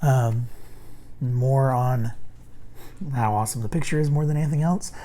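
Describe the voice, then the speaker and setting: Hoarse man rambling through a card review. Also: mid-range voice, mellow, slight background noise. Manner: rambling, halting, with many ums and restarts. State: hoarse, losing his voice. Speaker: host reviewing a card game deck. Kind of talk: casual unboxing and card review.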